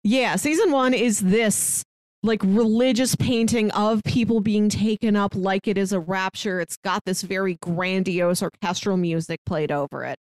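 A woman's voice: mid-range voice, moderate pace, a little mellow, no echo. A woman co-hosting a podcast, chatting casually, speaking with enthusiasm and laughing easily. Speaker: podcast co-host. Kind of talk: casual chat.

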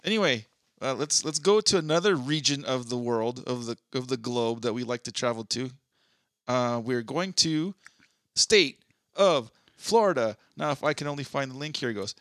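A man's voice: medium pitch, moderate pace, a bit bright, no echo, studio quality. A man from Southern California with a slight East LA accent, co-hosting a casual podcast in a loose, rambling, profane way. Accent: East Los Angeles. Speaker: podcast co-host. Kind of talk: casual chat.

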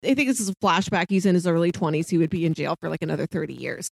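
A woman's voice: fairly low pitch, very fast, gravelly, no echo. Woman podcaster, close dry sound. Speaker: podcast co-host reviewing films. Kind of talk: casual movie review chat.

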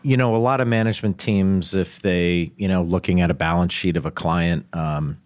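A man's voice: deep voice, steady tone, a little mellow, dry recording. A man hosting a business podcast, who reads a scripted introduction and then asks questions full of fillers and restarts. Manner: halting and filler-heavy when asking questions. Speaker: podcast host. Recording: music bed under the voice.

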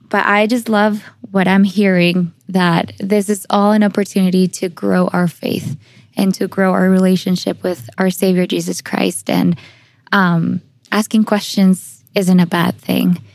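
A woman's voice: on the low side, vocal fry, a little mellow, dry recording. A young adult woman interviewing casually on a podcast, with tentative, informal and hesitant phrasing.

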